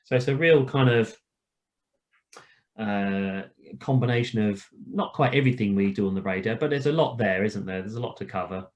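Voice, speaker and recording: A man's voice: on the low side, moderate pace, darkish tone, lo-fi sound. Informal, reassuring male instructor. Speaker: flight instructor leading a ground school. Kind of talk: informal online lesson. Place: online video call.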